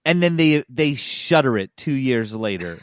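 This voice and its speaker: A man's voice: mid-range voice, animated, unhurried, no echo, clean studio sound. A man co-hosting a gaming podcast in casual chat. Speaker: podcast co-host.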